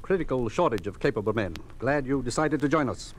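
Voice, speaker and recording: An actor playing a cold, domineering hospital director in an old radio drama, with a commanding, condescending manner. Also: male voice, mid-range voice, animated, a little fast, slight background noise, lightly acted. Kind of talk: 1950s radio drama acting. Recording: old 1950s radio recording.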